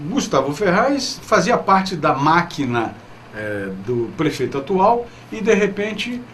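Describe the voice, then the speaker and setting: A man's voice: on the high side, a bit bright. A man with a Brazilian accent hosting an interview program, speaking spontaneously and courteously with frequent affirmations. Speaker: TV interview show host. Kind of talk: interview, spontaneous studio talk. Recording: clear background noise.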